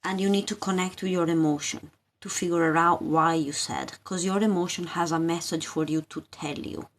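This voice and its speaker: A woman hosting a live tarot session, talking informally and haltingly to viewers, often asking questions and repeating her phrases. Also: lowish voice, steady tone.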